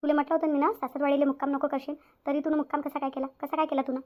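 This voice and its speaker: A woman's voice: high voice, little pitch movement, very fast, darkish tone, lightly acted. A woman voicing a stern, self-pitying middle-aged mother-in-law in a cartoon family drama, nagging with repeated questions in a Vidarbha Marathi accent. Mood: angry, accusing. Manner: nagging, repetitive rhetorical questions. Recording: dull lo-fi audio.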